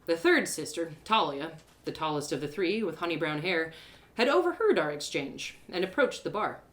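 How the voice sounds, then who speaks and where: A woman's voice: lowish voice, very animated, normal speed. A woman narrating a first-person audio story in a dramatic storytelling style.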